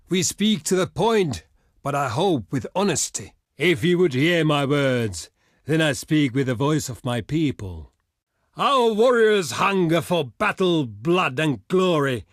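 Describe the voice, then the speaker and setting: Proud, solemn barbarian envoy. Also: male voice, fairly high pitch, no room sound, studio quality. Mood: formal, solemn, then warlike, rousing. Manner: formal, solemn, declamatory. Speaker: diplomatic messenger. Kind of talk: theatrical video game voice lines.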